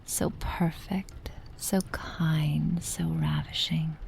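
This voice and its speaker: A woman playing a possessive, doting witch in an intimate ASMR roleplay, speaking softly and unhurriedly, often slipping into a whisper. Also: deep voice, steady tone, vocal fry, exaggerated voice. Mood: enraptured, tender. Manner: soft, unhurried, affectionate, often dropping to a whisper.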